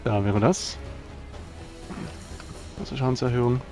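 A man's voice: mid-range voice, unhurried, a little mellow, faint music behind. A man chatting casually as a player in a tabletop role-playing game.

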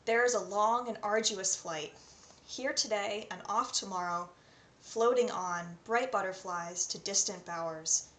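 A woman's voice: medium pitch, measured pace, fairly bright tone. A woman in her twenties with a North American accent, presenting as an online English tutor. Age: 20s. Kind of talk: self-introduction to camera with poem readings. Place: small indoor room at home. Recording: roomy echo, faint background noise.

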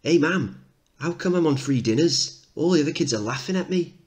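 A man's voice: fairly high pitch, expressive, brisk, a little mellow, voice pushed up, lightly acted. A man voicing hungry, whining young children calling for their mother in a play reading. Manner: whining, complaining.

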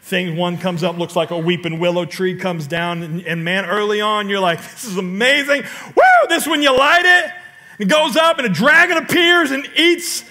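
Bright-voiced animated male pastor. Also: sits high, moderate pace. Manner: animated storytelling with sudden loud outbursts and audience questions. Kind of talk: sermon. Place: large church stage.

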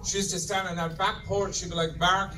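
A middle-aged man with an Irish accent doing a stand-up comedy routine in a deadpan manner, swearing freely and talking straight to the audience. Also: sits high, fairly quick, over music. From Ireland. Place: club stage in front of a laughing audience. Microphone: handheld mic, filmed from the audience. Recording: distant room recording with crowd laughter.

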